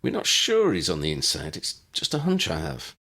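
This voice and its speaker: A man puts on a confident, wry young man for an audiobook. The voice is airy and lifted well above his own, with very lively swoops. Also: mid-range voice, normal speed, creaky, pitched above the performer's own voice, exaggerated voice. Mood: firm, planning.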